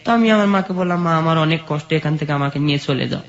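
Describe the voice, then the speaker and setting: High-voiced Bangladeshi man telling his story. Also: fast talker. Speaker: interview guest telling life story. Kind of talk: personal life-story narration. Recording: dull lo-fi sound.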